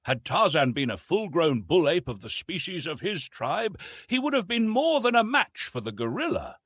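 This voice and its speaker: Man, audiobook narrator, scripted adventure fiction, husky edge, dry studio-like sound, dramatic delivery. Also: fairly high pitch, big pitch swings, moderate pace, a bit bright, dry recording.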